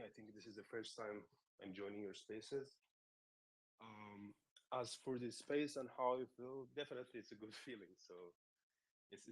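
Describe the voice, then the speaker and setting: A man with a hoarse, slightly cracking voice, a guest sharing his view in a casual online chat, measured and thoughtful. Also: fairly low pitch, low-quality recording. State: hoarse from illness. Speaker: guest, project founder.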